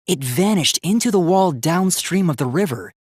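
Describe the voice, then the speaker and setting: A man's voice: high voice, expressive, moderate pace, breathy edge, no room sound, studio quality. A man voicing an earnest, logical young male robot student in a video game, speaking in a formal, precise, analytical way. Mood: analytical, serious. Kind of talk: English video game voice acting.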